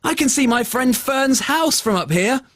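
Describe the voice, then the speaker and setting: A man's voice: extremely high pitch, lively intonation, moderate pace, fairly bright tone, voice pushed up, exaggerated voice. A man voicing an adventurous, wide-eyed three-year-old boy in a children's bedtime story. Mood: excited. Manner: exclaiming, questioning, wide-eyed.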